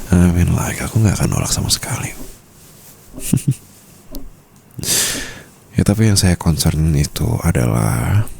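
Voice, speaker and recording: Mafia boss husband, male actor: deep, creaky voice, flirtatious and possessive, intimate ASMR roleplay delivery. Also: darkish tone, a little hiss or hum, heavily acted. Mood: playful. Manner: slow, teasing murmur, mixing in English phrases.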